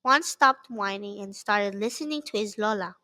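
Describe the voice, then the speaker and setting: A woman's voice: mid-range voice, moderate pace, sharp tone. A woman narrating a scripted children's story as an author reading her work aloud.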